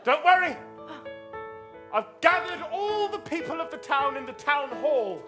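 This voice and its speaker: A man's voice: very high-pitched, big pitch swings, a little slow, a bit bright, a faint crowd, full character voice. A man playing an eager, modest, slightly hesitant sidekick in an improvised stage pantomime. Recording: music and audience behind.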